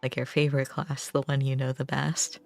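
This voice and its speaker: A woman's voice: very deep voice, level tone, brisk, creaky, faint music behind. A woman livestreamer chatting casually with viewers in a rambling, self-deprecating way. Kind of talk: casual gaming stream chat.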